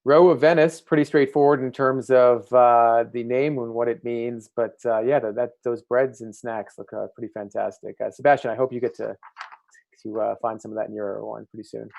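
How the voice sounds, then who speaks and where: A man in his thirties with an American accent hosts a casual interview show over a video call. His voice is medium in pitch with a lot of creak. The sound is dry, picked up by an earbud mic. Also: fairly even intonation, normal speed, a little mellow, dry recording. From United States. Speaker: livestream host. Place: indoor room. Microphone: earbud headset on video call.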